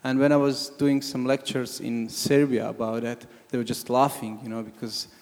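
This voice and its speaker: A man from Croatia, commenting from the audience at a panel discussion, halting with many restarts. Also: mid-range voice, level tone, a little mellow. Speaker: audience member. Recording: long hall reverb.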